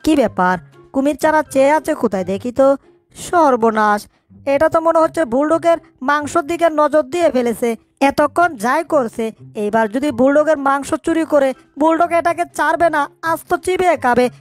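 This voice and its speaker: A woman's voice: sits high, brisk, no room sound, soft background music, lightly acted. A woman voicing a small, crafty, bossy cartoon mouse, scolding and narrating its own schemes. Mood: alarmed, scheming. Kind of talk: cartoon dub in Bangla.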